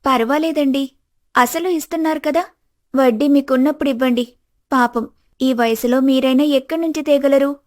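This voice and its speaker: Lady doing a smart young daughter-in-law, really high pitched, firm n earnest, animated moral story. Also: moderate pace, natural voice. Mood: polite, sympathetic. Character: clever, principled, outspoken young daughter-in-law. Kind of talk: animated family drama dialogue.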